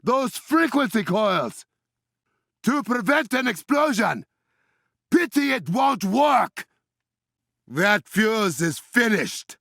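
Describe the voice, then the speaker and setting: An actor playing a proud, resolute warrior king in a video game, with an African accent and formal, measured, regal diction. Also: high voice, very animated, creaky, fairly bright tone, dry recording, shouting, studio quality, full character voice. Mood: shouting, fierce. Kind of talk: dramatic video game voice acting.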